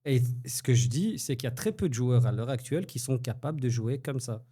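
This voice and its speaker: Brief: man co-host, warm mellow tone, insistent explainer, casual football debate. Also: mid-range voice, expressive, brisk, breathy edge. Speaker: podcast co-host.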